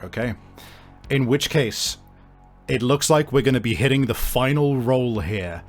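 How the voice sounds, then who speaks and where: A man with a mid-pitched voice runs a tabletop role-playing game. He explains the rules deliberately, with some hesitation. Soft music plays underneath. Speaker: game master.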